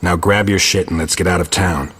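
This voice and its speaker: Game hero, male: a blunt, dry monster hunter, extremely low and heavily rasping. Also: a little fast. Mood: dry, terse. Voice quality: raspy, gravelly growl. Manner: terse, deadpan, blunt. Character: gruff, dry, weary monster hunter, adult man. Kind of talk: video game voice acting.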